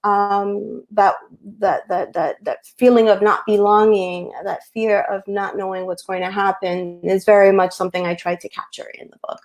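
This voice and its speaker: A woman who grew up speaking Spanish first, talking casually as a picture book author on a video call, animated and hesitant with many ums. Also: mid-range voice, normal speed, few pauses. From United States. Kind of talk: casual webinar talk.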